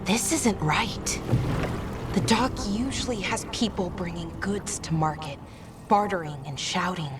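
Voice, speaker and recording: A woman's voice: fairly low pitch, unhurried, loud music behind the voice, natural voice. A woman playing a composed, watchful, probing investigator in a video game drama. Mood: uneasy.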